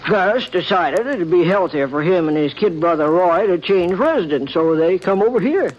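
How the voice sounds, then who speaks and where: An easygoing old frontier doc, high folksy drawl, chuckles; dull lo-fi old radio sound. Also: male voice, expressive, moderate pace. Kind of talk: 1950s radio drama acting.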